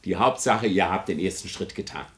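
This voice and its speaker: An actor playing an earnest, zealous idealist in a radio play, exhorting and preachy. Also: male voice, deep voice, a little fast, lightly acted. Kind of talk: classic German radio play acting.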